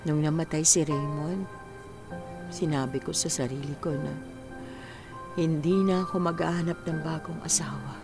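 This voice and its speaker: A woman acting in a Filipino radio drama as an earnest, wistful widowed mother in her forties, weary after working overseas. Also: low voice. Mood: playful protest.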